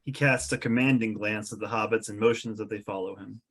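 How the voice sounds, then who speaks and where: A man's voice: fairly low pitch, fairly even intonation, a little fast, fairly bright tone. A man reading scene descriptions as the narrator of a script table read.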